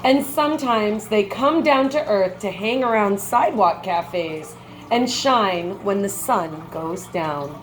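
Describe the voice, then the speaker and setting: A woman reading a children's picture book aloud like a teacher, with measured, expressive phrasing and brief comments. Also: medium pitch, a little hiss or hum, poor audio.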